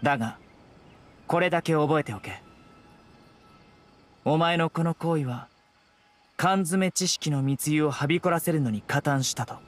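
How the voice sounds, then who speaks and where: A man's voice: fairly high pitch, unhurried, long pauses, lightly acted. A man playing a stern young law enforcer in a Japanese video game, composed, blunt and commanding. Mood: stern, cool.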